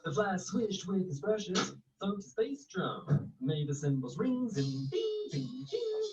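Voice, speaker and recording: A person reading a children's story aloud in an expressive, rhythmic way, with jazzy scat and sound effects. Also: mid-range voice, slow. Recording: muffled lo-fi audio with music. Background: music.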